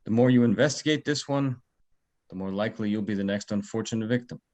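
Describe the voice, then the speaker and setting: A man's voice: on the low side, quick pace, a little mellow, close to an everyday voice. A man playing a guarded, ominous security guard, cautionary in manner, in a screenplay read-through. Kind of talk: screenplay table read acting.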